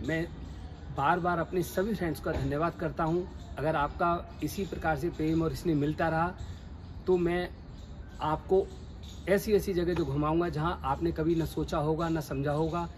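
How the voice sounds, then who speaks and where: A man's voice: fairly high pitch, a little hiss or hum. A middle-aged man talking straight to viewers in a casual travel vlog, recorded on a phone camera outdoors and in a moving car. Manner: chatty, addresses viewers directly. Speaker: travel vlogger. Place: outdoors by trees, then inside a moving car.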